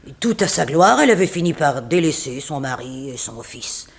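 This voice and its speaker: A woman playing a composed, unsentimental figure in a 1960s French radio mystery, telling things dramatically with weighted pauses. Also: deep voice, big pitch swings. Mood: somber. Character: composed, unsentimental woman recounting a family tragedy. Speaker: actress in a radio serial.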